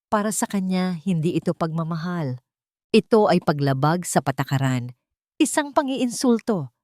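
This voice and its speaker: A woman with a Filipino accent narrating a scripted audio drama in a deliberate, dramatic way, phrase by phrase. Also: lowish voice, lively intonation, darkish tone, no room sound, clean studio sound. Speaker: storyteller.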